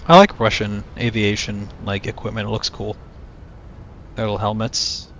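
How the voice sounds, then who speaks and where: Young man, monotone, gravelly, lowish voice, casual streamer chat, dull headset mic. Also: moderate pace, slight background noise. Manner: low-key, talks to chat while playing. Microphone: cheap headset mic.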